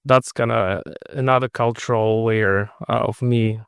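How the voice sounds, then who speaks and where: A middle-aged man with a Russian accent talks things through in a measured, thoughtful way, restarting his phrases as he searches for the right words. His mid-pitched voice has a gravelly edge. The sound is dry and close. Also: steady tone, no room sound. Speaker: podcast guest. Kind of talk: casual interview conversation.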